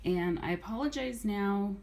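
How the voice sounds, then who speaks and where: A middle-aged woman with a slightly hoarse voice from a cold, chatting casually and rambling through a haul video at home. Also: medium pitch, normal speed, darkish tone. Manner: chatty, rambling, with many restarts and fillers. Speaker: vlogger.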